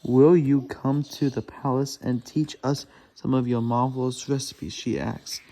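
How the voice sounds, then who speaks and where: A man with a soft, mellow voice reads a children's storybook aloud at a slow pace. His delivery is laboured and halting, and he often stumbles and goes back to correct a word. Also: medium pitch, fairly even intonation.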